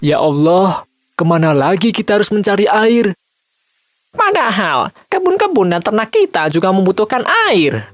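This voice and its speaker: A man voicing a weary, exasperated townsperson who complains plaintively in an animated children's story. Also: sits high, moderate pace, no room sound. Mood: pleading.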